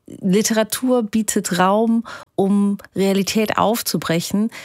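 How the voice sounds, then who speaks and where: A woman radio host leading an interview in a friendly, conversational way, with a few hesitations. Her voice sits in a middle pitch. The studio sound is close and dry. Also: a little mellow, no echo. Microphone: studio microphone.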